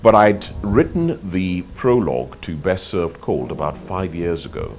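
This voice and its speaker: An older man from Nigeria, an author answering interview questions in a measured, articulate way, often repeating words as he thinks. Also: sits low, very animated, darkish tone, soft background music. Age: 50s or older. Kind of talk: reflective interview talk.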